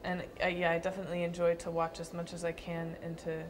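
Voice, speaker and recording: Soft-spoken, thoughtful young woman dancer. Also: fairly low pitch, monotone, fast, a little hiss or hum. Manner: thoughtful, hesitant with fillers and restarts. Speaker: dancer being interviewed. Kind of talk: casual interview answers.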